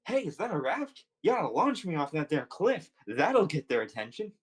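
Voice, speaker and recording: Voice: medium pitch, big pitch swings, normal speed, breathy edge. A performer voicing a cheerful, reckless little creature in a cocky, folksy way for a story game.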